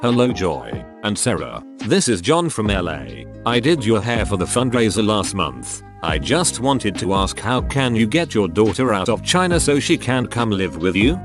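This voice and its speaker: Male narrator, low-pitched and animated, reading forum posts in a steady scripted way, faint music underneath. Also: moderate pace, hardly any pauses.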